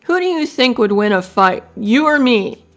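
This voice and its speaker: A woman voicing a protective adult horse in a storybook, speaking loudly and confidently at her own pitch. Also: very animated, normal speed, dull audio. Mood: firm. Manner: firm, loud and confident. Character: steady, protective adult horse, calm and strong.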